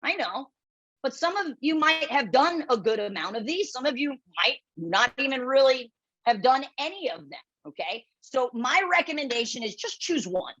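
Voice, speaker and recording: A woman with a loud voice presenting a webinar, blunt and direct, often checking in with the audience as she coaches. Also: high voice, expressive, normal speed, husky. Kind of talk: instructional webinar talk. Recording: dull lo-fi webinar audio.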